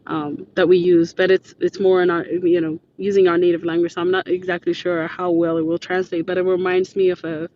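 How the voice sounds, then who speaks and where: A woman's voice: lowish voice, level tone, brisk, hardly any pauses, warm tone. A woman from Ethiopia, a native Amharic speaker, joining a live chat as a guest and talking hesitantly with many "um"s and restarts. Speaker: guest caller. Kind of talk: casual live audio-room chat. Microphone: phone app. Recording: dull lo-fi phone audio with faint noise.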